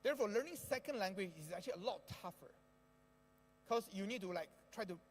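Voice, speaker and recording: A man's voice: sits high, animated, a little fast, big-room sound, slight background noise. A man whose first language is Indonesian, speaking to an audience and explaining with a frequent "right?" tag. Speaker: speaker at an education event. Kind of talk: talk to an audience.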